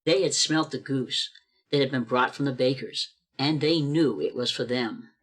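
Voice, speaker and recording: Woman narrating a church radio play. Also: a bass voice. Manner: measured, expressive storytelling. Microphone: video call.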